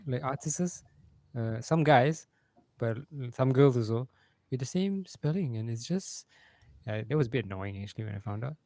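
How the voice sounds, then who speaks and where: A man in a casual podcast chat, talking hesitantly with fillers and soft asides. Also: mid-range voice, lively intonation, moderate pace.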